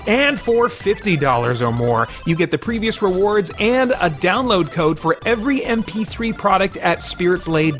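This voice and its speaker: Higher-pitched American man hosting a vlog. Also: fairly bright tone. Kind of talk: video commentary to camera, part casual musing, part fundraising pitch.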